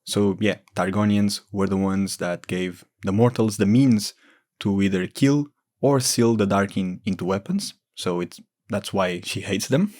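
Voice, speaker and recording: A man's voice: lowish voice, measured pace, darkish tone. A man giving informal, explanatory lore commentary as a gaming video creator.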